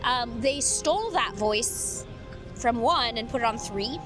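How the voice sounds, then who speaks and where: A middle-aged woman with a high voice, a voice actor chatting in an interview in a bubbly, rambling way. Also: lively intonation, a little slow, over music. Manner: bubbly, rambling, laughs often. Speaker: voice actor guest. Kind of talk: casual interview chat.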